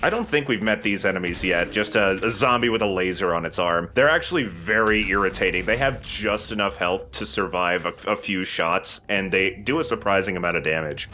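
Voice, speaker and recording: A man's voice: low-pitched, fairly quick, few pauses, pushed and bright, a little hiss or hum. An enthusiastic man giving casual let's play commentary as he plays a video game. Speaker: let's play host and player.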